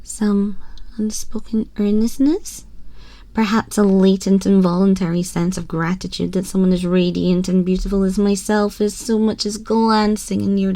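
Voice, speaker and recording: A woman's voice: mid-range voice, mellow, no room sound, lightly acted. A woman playing a haughty, condescending young empress in a scripted ASMR roleplay, teasing and imperious, speaking intimately into a close microphone. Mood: haughty, amused. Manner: imperious, slow, theatrical, teasing drawl with breathy whispers. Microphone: close binaural microphone.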